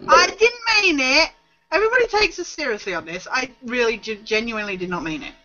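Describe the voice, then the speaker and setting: A woman's voice: sits high, lively intonation, brisk, bright, forceful tone. A woman in her thirties chatting casually and teasingly as a panellist on an online show. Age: 30s. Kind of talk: casual chat. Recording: dull, noisy online call with clicks.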